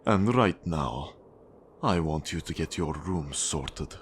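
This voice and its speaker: Man playing a slow, deep-voiced Russian mafia boss. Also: animated, a little hiss or hum, full character voice. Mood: curt, dismissive. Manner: slow, deliberate, controlling, condescending. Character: cold, menacing Russian male mafia boss and accountant, power-hungry. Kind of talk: ASMR roleplay monologue addressed to the listener.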